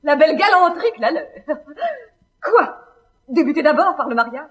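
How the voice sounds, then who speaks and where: An actress playing a haughty, affected young daughter in a classical French stage comedy, with precious, disdainful diction. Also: female voice, sits high, animated, moderate pace, highs cut off.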